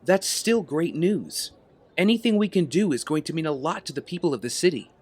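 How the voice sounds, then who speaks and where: A man's voice: fairly high pitch, animated, brisk, background noise, lightly acted. A man playing an earnest young vigilante heir with a double life, sincere and reflective, in an audio drama. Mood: eager, animated.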